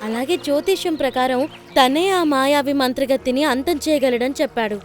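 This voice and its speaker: A woman's voice: sits high, normal speed, faint music behind, natural voice. A woman voicing a kind young mermaid who explains earnestly, in a children's cartoon. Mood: apologetic.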